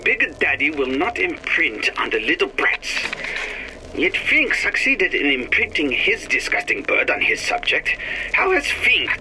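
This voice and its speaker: Arrogant cranky scientist for a game, choppy grammar, super animated, noisy radio sound. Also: male voice, fairly high pitch, echoey room. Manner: clipped broken English, third person, imperious. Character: arrogant, irritable male scientist, refers to himself by name. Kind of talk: video game voice acting.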